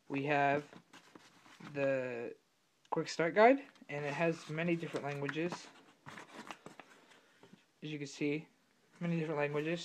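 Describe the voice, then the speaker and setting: A young man with a fairly high voice, a little raspy from being sick. He rambles through a casual unboxing at his desk, filling pauses and correcting himself often. He is recorded on a camera mic. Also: noisy background. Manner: rambling, many fillers and self-corrections. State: slightly raspy from illness. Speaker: tech unboxing vlogger. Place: home room at a desk.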